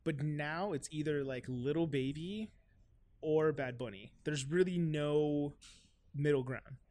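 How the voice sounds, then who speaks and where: Man, high-pitched voice, thirties, casual rambling podcast chat. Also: slow, a little mellow. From South Florida. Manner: loose, rambling, with lots of "like" and "right?". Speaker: guest musician.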